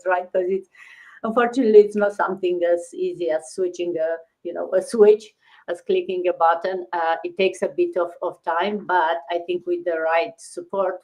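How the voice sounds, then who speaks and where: A woman from Romania hosting a casual live stream, talking haltingly with many fillers and restarts. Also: fairly low pitch, poor audio.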